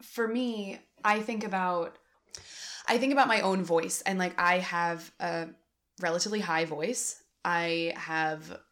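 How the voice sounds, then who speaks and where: Woman, young adult, low-ish voice, casual candid podcast chat, filler-heavy. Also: a little slow. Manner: candid, animated, many fillers. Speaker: podcast co-host.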